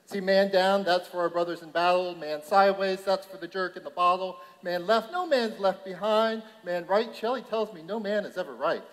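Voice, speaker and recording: Male spoken-word poet with a high voice, emphatic and rhythmic, ringing through a big hall. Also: moderate pace, few pauses. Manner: punchy, emphatic, declamatory delivery. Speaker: reverend performing slam poetry. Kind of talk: rhythmic slam poetry recitation. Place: large hall at a youth conference. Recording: reverberant large hall.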